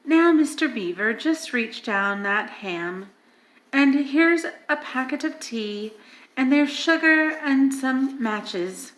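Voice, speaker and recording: A woman voicing a motherly female beaver in a storybook, practical, folksy and coolly unhurried. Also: a little high, a bit bright, echoey room. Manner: cool, folksy, unflustered.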